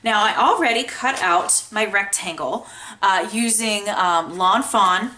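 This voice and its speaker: Female craft tutorial host, mid-pitched and bright, congested with a light scratch, casual and enthusiastic. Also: a little slow. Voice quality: a little scratchy. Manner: chatty, enthusiastic. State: congested from a sinus infection. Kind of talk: casual how-to craft demonstration.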